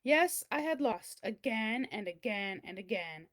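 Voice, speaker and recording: A woman's voice: on the high side, lively intonation, measured pace, fairly bright tone. A woman voicing a boastful big cheese learning humility, telling its own picture-book story in a theatrical, emphatic way. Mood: calm, relieved. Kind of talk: first-person picture-book read-aloud.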